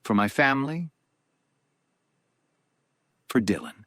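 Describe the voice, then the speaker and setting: An actor playing a grieving, reserved man from a powerful family, giving a solemn eulogy in measured, halting phrases. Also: mid-range voice, expressive, fairly bright tone, no echo, clean studio sound. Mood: solemn, reflective. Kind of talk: solemn eulogy in audio drama.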